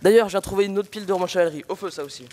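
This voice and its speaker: A man's voice: high-pitched, very fast, a little mellow, close to an everyday voice. A teenage man playing a pompous, scornful old village priest who grumbles and declaims. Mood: bossy. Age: teenager, high-school student. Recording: reverberant room, noisy background.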